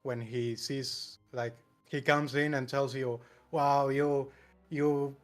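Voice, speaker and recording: A man's voice: medium pitch, level tone, slow, faint music behind. A man from Argentina with an Argentine accent, chatting casually on a panel, hesitant with many fillers and small laughs. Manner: hesitant, many uhs, laughs between phrases. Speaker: podcast panelist. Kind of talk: casual group chat.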